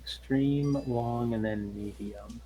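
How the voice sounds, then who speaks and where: A man's voice: medium pitch, slow pace, warm tone, slightly rough, low-quality recording. A man talking casually as a player in a tabletop role-playing game. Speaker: player, sensor operator.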